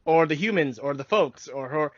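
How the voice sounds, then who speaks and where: Higher-voiced man hosting, dry sound. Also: expressive, moderate pace, no echo. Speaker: podcast host. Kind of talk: casual fan podcast chat. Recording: dry, dull lo-fi recording.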